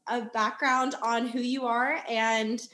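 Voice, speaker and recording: A woman's voice: fairly high pitch, level tone, takes it slowly, bright, forceful tone. A woman podcast host interviewing a guest in a casual, conversational way. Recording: roomy, echoing sound.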